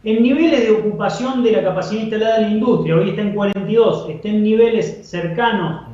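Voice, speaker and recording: Un hombre con acento argentino que comenta la economía como analista invitado, de forma explicativa y repitiendo para enfatizar. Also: male voice, sits high, fast talker, mellow. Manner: explanatory, repeats phrases for emphasis. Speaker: economic analyst guest. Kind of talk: live interview commentary on economics. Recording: muffled, very echoey remote call.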